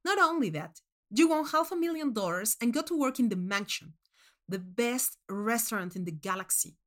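Expressive woman reading a short story. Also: a little high, normal speed. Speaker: story narrator. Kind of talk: scripted literary narration, first-person short story reading.

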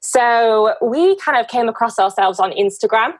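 A woman's voice: on the high side, animated, normal speed, no echo. A woman hosting a podcast in a casual, conversational way, effusive and encouraging. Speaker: podcast host.

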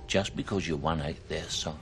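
An actor playing a gruff, dry older father spy in a film scene, with gravelly delivery. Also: very deep voice, moderate pace, soft background music. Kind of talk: film dialogue clip. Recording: film soundtrack with score behind.

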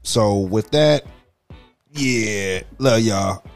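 A middle-aged man co-hosting a podcast, giving loose, slangy shout-outs with plenty of fillers. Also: lowish voice, extremely slow, soft background music. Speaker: podcast co-host. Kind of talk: casual podcast banter.

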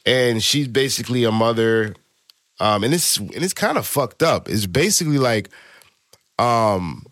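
An animated young man from a Haitian American background, his pitch swinging widely as he retells scenes with jokey high voices. The sound is dry and close, with no room around him. He talks loose and casual, full of slang. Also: measured pace, dry recording. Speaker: podcast co-host. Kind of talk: casual chat.

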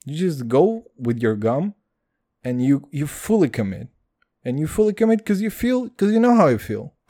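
A man's voice: a little high, normal speed. A man hosting a casual solo podcast, rambling and swearing as he drinks a beer and keeps calling his listeners dude. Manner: animated, profane, rambling, addresses listeners as dude. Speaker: podcast host.

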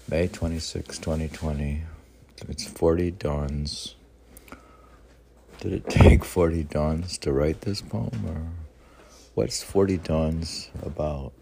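A man reading his own poetry, with rambling casual asides in an unhurried, halting, wry way. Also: extremely low pitch, creaky, mellow, a little hiss or hum. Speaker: poet reading own work.

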